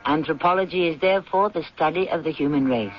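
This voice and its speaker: A man hosting and narrating a 1970s radio drama in a formal, measured, didactic scripted style. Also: on the high side, slight background noise. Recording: muffled 1970s radio broadcast.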